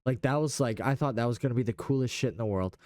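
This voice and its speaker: A man's voice: mid-range voice, quick pace, a little mellow, dry recording. A young man in casual gaming chat, speaking in a slangy, enthusiastic way. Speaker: podcast host.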